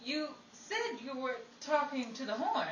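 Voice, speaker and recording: A woman playing a brisk, bossy army WAC in 1940s radio comedy style, theatrical and quick-witted. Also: high-pitched, very animated. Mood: wry teasing. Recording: echoey distant live recording, crowd noise.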